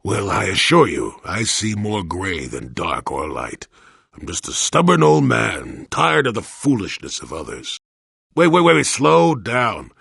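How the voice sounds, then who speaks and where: A man playing a crotchety, wry old hermit Jedi in a video game, grumbling in a folksy, sardonic way with a gravelly, husky voice. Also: lowish voice, big pitch swings, a little slow, fairly bright tone, dry recording, studio quality, heavily acted. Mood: stubborn, matter-of-fact. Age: older. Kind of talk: video game character acting.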